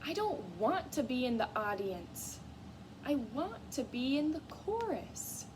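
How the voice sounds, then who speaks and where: A woman's voice: sits high, sing-song, takes it slowly, full character voice. A woman voicing a spirited, stubborn young girl mouse in a storybook, earnest and emphatic. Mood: frustrated. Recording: clear background noise.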